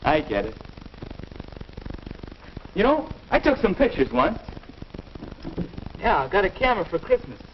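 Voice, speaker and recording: A man's voice: sits high, wildly swooping pitch, unhurried, long pauses, exaggerated voice. A young man playing a puzzled, earnest American teenage boy who muses aloud in a 1950 instructional film, on a dull, noisy old soundtrack. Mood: musing, brightening. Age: teenager or young adult. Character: restless, puzzled teenage boy looking for a hobby.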